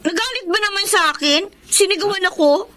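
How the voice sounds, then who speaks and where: A woman's voice: extremely high pitch, a little fast, sharp tone. A woman acting a sulky, sensitive college-age daughter in a radio drama. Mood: hurt, indignant.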